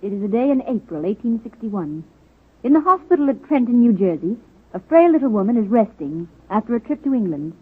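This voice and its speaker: A woman's voice: on the high side, sing-song, a little fast, breathy edge. A woman narrating a 1940s radio drama in a dramatic scripted style. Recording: muffled old radio recording, phone-like band.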